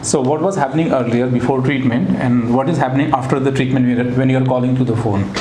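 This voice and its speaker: Indian guy doing a clinic interview, talks quick, echoey room w lots of background noise. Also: mid-range voice, steady tone. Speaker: voice therapist interviewing a patient. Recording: roomy echo, loud background noise.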